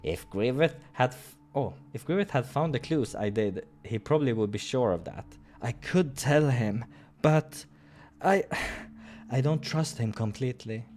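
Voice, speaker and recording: A man hosting a playthrough, reading a visual novel aloud in an expressive way with casual asides. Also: medium pitch, a little slow, a little hiss or hum. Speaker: let's-play host.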